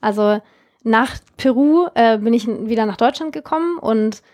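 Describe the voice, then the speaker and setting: Woman, 20s, from Germany, casual interview, chatty with fillers, slightly high voice. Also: moderate pace, a little mellow. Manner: chatty, many fillers and restarts.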